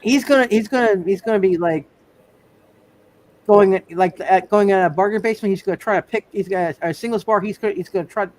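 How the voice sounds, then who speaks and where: A man's voice: high voice, fast, darkish tone. A man improvising narration as game master of a tabletop roleplaying game, often repeating his words as he thinks.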